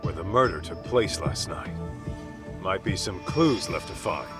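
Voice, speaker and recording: An actor playing a gruff, easygoing male street detective in a game cutscene, talking bluntly and slangily. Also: low voice, animated, unhurried, music in the background. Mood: casual, brash. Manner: blunt, casual slangy talk. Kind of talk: dramatic game cutscene dubbing.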